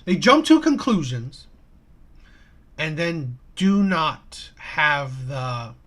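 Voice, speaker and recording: A man hosting a live stream and giving reaction commentary, talking in a halting way with many restarts and tag questions like "right?". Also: fairly high pitch, sing-song, fairly bright tone. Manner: halting, repeats "right?" and "okay?".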